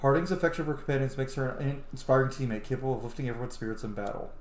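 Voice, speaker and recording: A man's voice: medium pitch, very fast, roomy sound. A man giving casual, sweary, effusive let's play commentary and reading game text aloud. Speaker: gamer, roleplay streamer.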